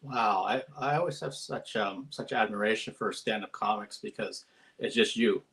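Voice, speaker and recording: A man's voice: on the low side, normal speed, fairly bright tone. Chatty male interview host. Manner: friendly, chatty, many fillers. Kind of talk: live-stream interview.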